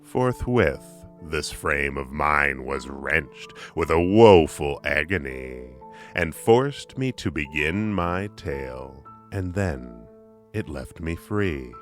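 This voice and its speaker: Male poetry narrator: extremely low, slow, dramatic, swelling and hushing, with music underneath. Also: expressive. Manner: theatrical, with big swells and drops in volume. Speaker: poetry reader.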